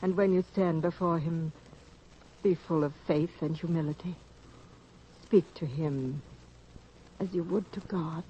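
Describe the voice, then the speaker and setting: An actress playing a calm, devout neighbor woman in a 1940s radio drama, speaking gently and earnestly with a breathy voice. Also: deep voice, unhurried, long pauses, slight background noise. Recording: dull old radio recording.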